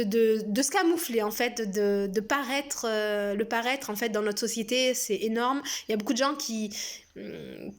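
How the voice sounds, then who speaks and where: A French woman in her thirties speaking casually and frankly to her viewers, with many hesitations and fillers. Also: fairly high pitch. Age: 30s. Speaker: personal development vlogger. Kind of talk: casual spoken monologue.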